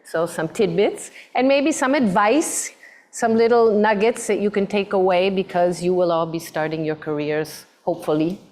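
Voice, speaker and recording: A woman's voice: mid-range voice, normal speed, darkish tone. A woman moderating a design talk for students, hesitant, with many fillers and repeated words. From mixed background.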